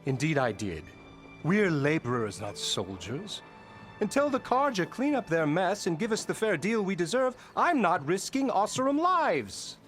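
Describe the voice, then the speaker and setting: A pompous labour boss from a fantasy video game. His voice sits fairly high and swings widely, full of theatrical bluster and mock courtesy. Some music plays behind him. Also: big pitch swings, unhurried. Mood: indignant, boastful. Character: pompous, self-important male labour boss, slick fantasy blowhard. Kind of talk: video game character acting.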